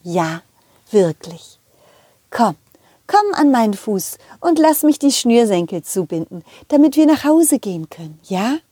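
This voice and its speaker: A woman's voice: a little high, very animated, unhurried, warm tone, slightly rough, no echo, exaggerated voice. A woman voicing a motherly, loving centipede lady in a children's audio play, tender, coaxing and patient. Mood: affectionate.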